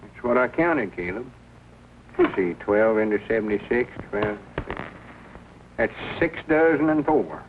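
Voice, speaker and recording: An actor playing a shrewd, folksy country storekeeper with a drawling Southern accent in a 1940s comedy film. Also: male voice, fairly low pitch, lively intonation, a little slow. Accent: rural Southern US. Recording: tinny, noisy 1940 film soundtrack.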